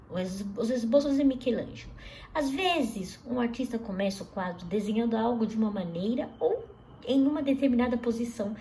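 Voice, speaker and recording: A woman's voice: fairly high pitch, slight background noise, rough recording. Chatty woman teaching a kids' art class. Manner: chatty, lively, asks pupils questions, restarts words. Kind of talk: recorded class lesson for children.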